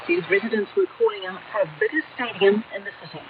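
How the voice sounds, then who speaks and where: A woman newsreader with a New Zealand accent delivers a scripted bulletin at a medium pitch. She comes over shortwave radio, narrow and distant, with clear noise around the voice. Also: animated, fast talker. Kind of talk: scripted news bulletin reading.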